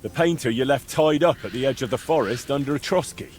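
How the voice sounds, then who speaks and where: An actor playing a calm young man in a medieval game, questioning people in a measured, dryly mocking, insistent way. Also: mid-range voice, faint music behind, lightly acted. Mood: probing. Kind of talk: video game dialogue acting.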